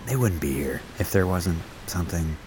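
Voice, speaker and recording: A man's voice: deep voice, expressive, moderate pace, heavy background noise. An actor playing a casual, nosy, friendly male coworker in an audio drama, informal and a little hesitant. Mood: chummy, probing. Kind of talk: naturalistic audio drama acting.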